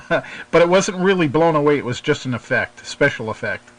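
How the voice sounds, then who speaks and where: A man hosting a radio show in casual chat, speaking haltingly and often circling back over his phrases. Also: a little high, animated, low-quality recording. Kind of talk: casual radio chat about shortwave hobby.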